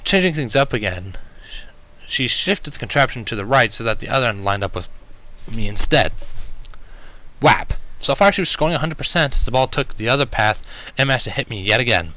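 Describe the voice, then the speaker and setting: A man reading fan fiction aloud as an expressive first-person story narrator. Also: mid-range voice, brisk, low-quality recording.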